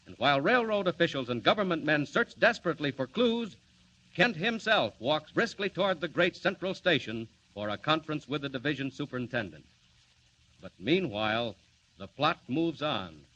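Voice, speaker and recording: A man announcing an old-time radio serial with a bright, ringing, emphatic delivery and a lively, rising-and-falling pitch. The recording is dull and lo-fi with a faint hiss. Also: moderate pace.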